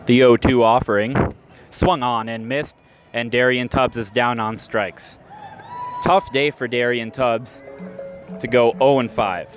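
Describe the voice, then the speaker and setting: A man's voice: medium pitch, steady tone, a little slow, music in the background. A man with an American accent calling baseball play-by-play live for radio. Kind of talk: live radio sports commentary. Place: outdoor minor league ballpark. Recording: outdoor ballpark, crowd and background noise. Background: stadium crowd and ambient noise.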